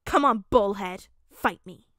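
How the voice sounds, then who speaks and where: A woman's voice: mid-range voice, a little slow, fairly bright tone, no room sound, studio quality. A woman voicing a proud, grumpy four-year-old boy in a story, blunt and pouting as the boy makes demands. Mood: scornful.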